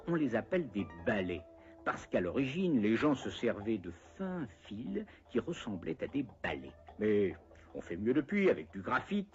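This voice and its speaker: A man's voice: mid-range voice, darkish tone, muffled recording. A man narrating a scripted educational cartoon in a wry, conversational way, explaining step by step with playful asides.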